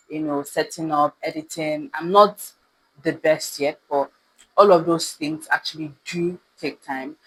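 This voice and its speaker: A woman's voice: sits low, fairly bright tone, husky, rough recording. A woman in her twenties with a Nigerian accent, chatting reflectively to camera as a lifestyle vlogger. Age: 20s. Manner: chatty and reflective, frequent laughs and ums. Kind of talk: casual talk to camera.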